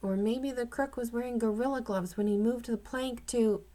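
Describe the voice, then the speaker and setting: A woman's voice: on the high side, moderate pace, dark tone, pitched above the performer's own voice, lightly acted. A woman reading aloud as a chatty, speculating young boy in a children's storybook.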